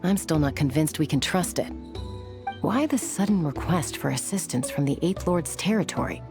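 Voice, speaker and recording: Game role: serious, protective young woman, lowish voice, wary and measured delivery. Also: lively intonation, over music. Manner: measured, guarded. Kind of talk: video game voice acting.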